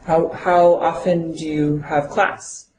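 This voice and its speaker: A woman acting as an interview officer, asking brisk, clear questions and giving short approvals. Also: low-pitched, flat delivery, a little mellow, a little hiss or hum. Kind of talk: scripted mock interview questioning. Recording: roomy echo with faint ambience.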